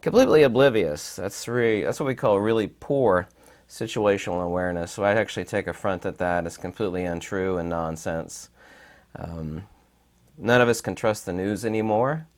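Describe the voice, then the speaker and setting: A man with a raspy, hoarse voice while recovering from illness, giving rambling, emphatic commentary with many fillers. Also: on the low side, normal speed. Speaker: channel host. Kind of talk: casual commentary to camera.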